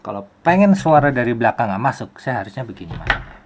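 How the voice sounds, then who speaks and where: A man's voice: mid-range voice, fast talker, slight background noise. Man explaining in a casual tutorial. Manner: animated explaining with rhetorical questions and repeated phrases. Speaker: YouTube host, film soundman.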